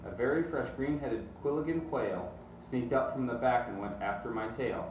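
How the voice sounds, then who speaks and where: A man reading a children's storybook aloud in rhythmic verse with animated delivery. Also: medium pitch, normal speed, lo-fi sound. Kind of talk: expressive storybook read-aloud. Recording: distant mic, roomy echo, faint noise.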